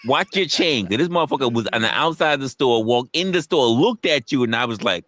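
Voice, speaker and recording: A man's voice: medium pitch, lively intonation, a little fast, a bit bright, no echo. A man chatting casually and profanely as a live stream co-host, telling stories by acting out dialogue and repeating phrases for emphasis. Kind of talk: casual, profane livestream chat.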